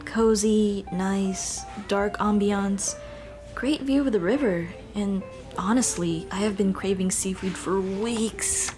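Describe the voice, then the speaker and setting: A woman's voice: medium pitch, takes it slowly, darkish tone, close to an everyday voice. A woman playing a shy, flustered monster girl in an ASMR roleplay, with a nervous, stammering, rambling way of talking. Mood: warm, playful. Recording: music behind the voice.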